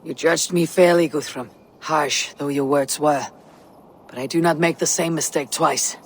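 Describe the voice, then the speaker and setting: An actress playing a stoic, resolute young Viking war leader with a grave, measured delivery, in a dramatic game style. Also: female voice, low-pitched, steady tone, slight background noise. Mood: calm, grave. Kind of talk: dramatic video game acting.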